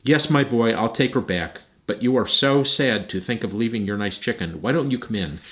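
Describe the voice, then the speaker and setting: A man reading aloud, voicing an eccentric old professor in a storybook, brisk and theatrical. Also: on the low side, natural voice. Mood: kindly. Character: old eccentric male professor, gruff then kind.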